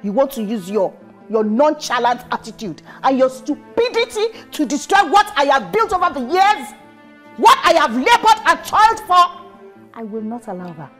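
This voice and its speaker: A woman's voice: medium pitch, animated, unhurried, soft background music, close to an everyday voice. A woman playing a strict, preachy mother who scolds her son. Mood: scolding. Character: strict, furious, pious mother.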